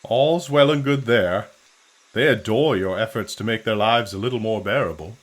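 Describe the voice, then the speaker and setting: An actor playing a genteel, worldly-wise officer and loyal friend in an audio drama, measured and philosophical. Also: male voice, lowish voice, natural voice. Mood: admiring, reassuring.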